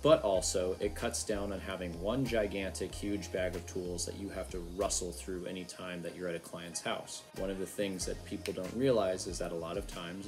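A young adult man hosting a casual instructional video, talking to the camera about his trade. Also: deep voice. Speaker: host, HVAC technician. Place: outdoor covered patio by a garden. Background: background music.

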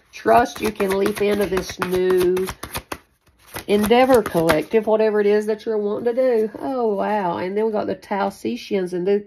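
A woman's voice: medium pitch, dark tone. A woman giving a casual, improvised tarot reading in a rambling, repetitive, folksy way, swearing now and then. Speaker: tarot reader hosting a spiritual channel. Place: small indoor room at a card table.

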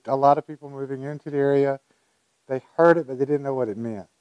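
A man's voice: mid-range voice, fairly even intonation, fast talker, dark tone, lo-fi sound. A man in his sixties, an official answering interview questions into a lapel mic. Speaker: county emergency services director. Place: indoor meeting room. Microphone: lapel microphone.

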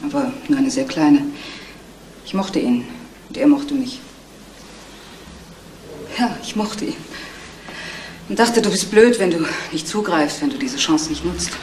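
An actress playing a self-possessed, twice-divorced fiancée under suspicion in a 1970s radio crime play, speaking in a composed, matter-of-fact way. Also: female voice, low voice, expressive, a little slow, long pauses, a little mellow. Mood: wistful, frank. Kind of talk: 1970s German radio crime drama acting. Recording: roomy, distant, noisy old radio recording.

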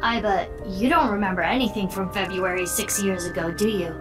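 A woman's voice: medium pitch, fairly even intonation, fairly quick, lightly acted. A woman voicing an earnest, curious young woman detective in a video game. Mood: inquisitive. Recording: game audio with background music.

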